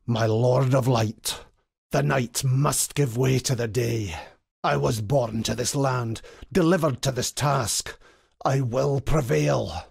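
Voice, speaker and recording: A man voicing a devout, grim, righteous old templar in a video game, solemn and preacherly, with a gravelly, husky voice. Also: mid-range voice, dry recording, studio quality, exaggerated voice. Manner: solemn, pious, archaic preacherly phrasing. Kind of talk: dramatic video game character voice lines.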